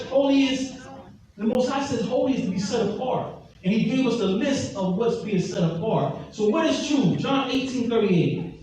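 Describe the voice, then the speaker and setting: A man's voice: very high-pitched, mellow. A man preaching an emphatic teaching sermon, repeating his scripture references and drawing responses from the room. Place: large echoey hall, heard from far off. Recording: echoey hall, distant mic, faint noise.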